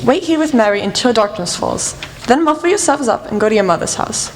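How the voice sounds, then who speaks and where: A woman's voice: fairly high pitch, expressive, brisk, a little hiss or hum, close to an everyday voice. Woman acting commanding lady detective in an echoing hall. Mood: commanding, determined. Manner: crisp, authoritative, formal. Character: commanding female detective Mrs. Holmes, brisk and shrewd. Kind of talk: radio play acting. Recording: reverberant hall, faint music.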